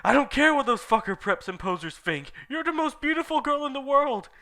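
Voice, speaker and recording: A man's voice: high voice, sing-song, moderate pace, a bit bright, voice pushed up. A man voicing a dramatic, lovesick teenage goth boy who makes overwrought declarations.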